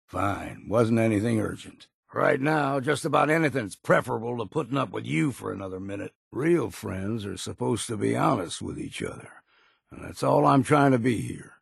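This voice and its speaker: An actor playing a gruff, weary old hunter in a video game, blunt and proud, with a gravelly, husky voice and a folksy, rustic drawl. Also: male voice, medium pitch, expressive, normal speed, dry recording, studio quality, full character voice. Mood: angry, fed up. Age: older. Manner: folksy, plain-spoken drawl with rustic grammar. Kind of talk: video game character dialogue.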